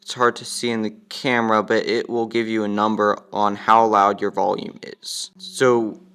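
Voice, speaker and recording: A man's voice: fairly low pitch, level tone, normal speed, fairly bright tone, smooth. A young man giving a casual, matter-of-fact walkthrough as he demonstrates a product.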